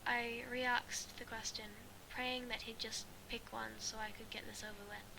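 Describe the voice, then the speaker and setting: A woman reading a first-person story aloud as an amateur, in a halting way with stumbles and repeated phrases. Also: fairly high pitch, little pitch movement, gravelly, background noise. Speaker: amateur fan fiction reader.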